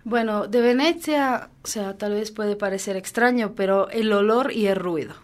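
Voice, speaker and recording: A woman's voice: medium pitch, moderate pace. A woman from Venice, native Italian speaker with an Italian accent, answering as a radio guest in a thoughtful way. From Venice, Italy. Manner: thoughtful, fillers, some laughter.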